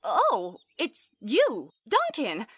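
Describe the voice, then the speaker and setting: Woman playing a high-voiced, courteous elven sorceress. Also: wildly swooping pitch, extremely slow, fairly bright tone, husky, no room sound, heavily acted. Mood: eager, polite. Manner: chatty, courteous, formal wording. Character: chatty, polite elven sorceress and scholar. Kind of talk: fantasy audio drama acting.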